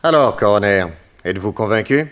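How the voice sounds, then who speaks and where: An actor playing a shrewd, courteous detective, speaking in an assured, formal and articulate way in old French radio drama style. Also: male voice, lowish voice, lively intonation, a little fast, fairly bright tone. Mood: formal, commanding.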